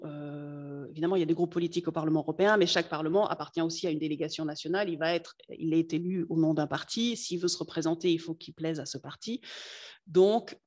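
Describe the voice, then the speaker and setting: A native French speaker giving a lecture to students, often pausing to search for words. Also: medium pitch, darkish tone. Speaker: guest speaker on European affairs.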